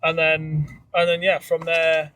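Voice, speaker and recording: A man's voice: fairly high pitch, completely flat delivery, measured pace, pushed and bright, recorded from a distance. A man in casual podcast conversation, telling anecdotes in an easygoing, meandering way. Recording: voice off the mic, faint background noise.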